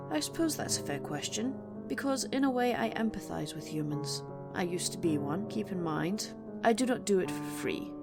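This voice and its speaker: A woman playing an imperious, centuries-old but young-looking female vampire, speaking in a formal, measured, haughty way in an audio roleplay. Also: on the low side, lightly acted. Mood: composed, aloof. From England. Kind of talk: audio roleplay monologue to the listener. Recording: music under the voice. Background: plucked guitar music.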